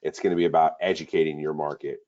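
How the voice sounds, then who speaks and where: A man's voice: rumbling low, flat delivery, fast, dark tone, muffled recording. A man co-hosting a sales webinar in an upbeat, repetitive way with many fillers. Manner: upbeat, repeats words, fillers.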